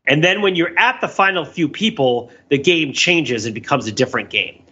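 Animated, bright-voiced, husky man. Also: a little high, normal speed, dull audio. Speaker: podcast panelist. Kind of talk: casual discussion.

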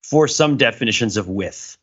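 A man's voice: mid-range voice, lively intonation, moderate pace, slightly rough. A man co-hosting a podcast, talking analytically and conversationally, explaining at length and building his points through examples. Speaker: podcast co-host. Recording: dull, lo-fi voice recording.

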